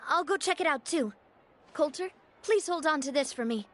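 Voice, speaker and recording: A woman's voice: high-pitched, expressive, moderate pace, slight background noise. An actress voicing a confident young female gang boss in a video game, firm and assured. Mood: warm. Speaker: president of a gang.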